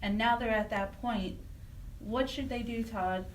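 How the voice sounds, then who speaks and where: A woman's voice: a little high, fairly even intonation, measured pace, slight background noise. Folksy woman radio host, slightly distant. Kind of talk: conversational radio hosting.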